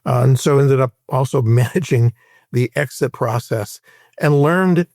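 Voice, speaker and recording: A man in his sixties from Hawaii with an American accent, recounting his career in a measured, explanatory way as an interview guest. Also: mid-range voice, a little mellow, no room sound, clean studio sound. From Hawaii, United States. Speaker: interview guest, private equity veteran. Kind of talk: conversational career recollection in an interview.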